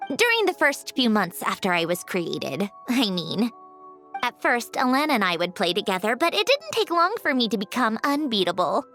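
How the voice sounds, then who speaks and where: A woman voicing a self-assured, brisk yet reflective female automaton in an English video game. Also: fairly high pitch, big pitch swings, pushed and bright, soft background music, exaggerated voice. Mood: nostalgic, confident. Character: female created automaton, wistful, bossy, proud, fond of puzzles.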